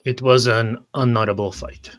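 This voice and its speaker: Man playing a flat, very polite adventurer. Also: mid-range voice, normal speed, natural voice. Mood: deferential. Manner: formal, hesitant, courteous. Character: polite, deferential, well-mannered male adventurer.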